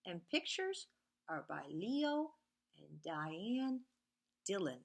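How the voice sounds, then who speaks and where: A woman reading picture books aloud to young children in an expressive, sing-song way, sometimes hushed, pausing to point things out to her listeners. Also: lowish voice, vocal fry. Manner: animated and sing-song, drops to hushed, whispery repeated sound words.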